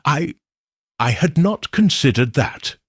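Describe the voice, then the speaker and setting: A man voicing a frightened aristocratic gentleman who speaks in a strained, hesitant way. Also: fairly high pitch, lively intonation, slow, slightly rough, no room sound, clean studio sound, voice pushed up, full character voice. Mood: alarmed.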